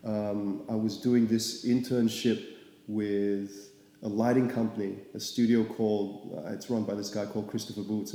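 A young man from Singapore, an artist, talking about his path in a casual, rambling way with lots of fillers. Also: fairly low pitch. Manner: relaxed, rambling, many fillers. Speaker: artist guest. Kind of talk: casual interview. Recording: echoey room with long reverb.

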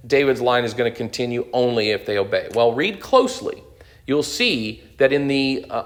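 A man in his thirties giving an unscripted lecture on the Bible, explaining as he goes with fillers and restarts. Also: medium pitch. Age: 30s. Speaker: Bible teacher.

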